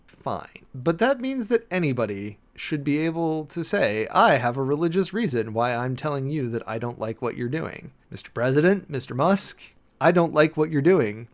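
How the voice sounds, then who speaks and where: A man reading a document dramatically and adding his own argumentative commentary, with pointed emphasis, rhetorical questions and deliberate pauses. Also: medium pitch. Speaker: preacher and commentator.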